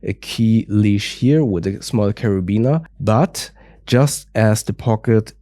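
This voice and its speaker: A man's voice: lowish voice, takes it slowly, dark tone, dry recording, clean studio sound. A man with a stuffy nose from a cold, reviewing gear in a careful, methodical way with frequent small hedges. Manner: careful, methodical, hedging with "a little bit". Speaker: product reviewer.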